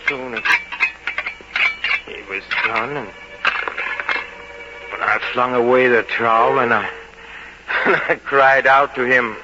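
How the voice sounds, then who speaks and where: An actor playing an obsessive, vengeful murderer confessing in a theatrical radio drama monologue, halting and repeating words as he gloats in torment. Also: mid-range voice, steady tone, heavy background noise, natural voice. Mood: agitated confession. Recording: muffled vintage radio recording. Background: dramatic music.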